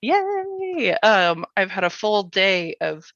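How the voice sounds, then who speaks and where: A woman hosting a casual panel interview, informal and halting, with lots of "like" and "you know". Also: mid-range voice, big pitch swings, a bit bright. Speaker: panel host. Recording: dull, lo-fi, roomy sound.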